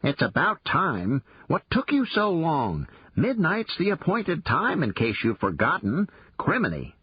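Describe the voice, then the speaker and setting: A man voicing a small, cranky male forest creature who snaps and bickers in a high, pinched voice. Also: wildly swooping pitch, husky, dry recording, muffled recording, heavily acted. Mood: irritable. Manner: irritable, snappish, quick to bicker. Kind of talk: audiobook character voice.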